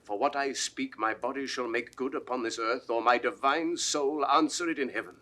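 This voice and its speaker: An actor playing a fierce, proud young noble accuser in declamatory Shakespearean verse. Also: male voice, medium pitch, normal speed, lightly acted. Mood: accusing, defiant. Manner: declamatory, forceful, ringing delivery. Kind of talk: classical Shakespearean verse acting, 1960s recording.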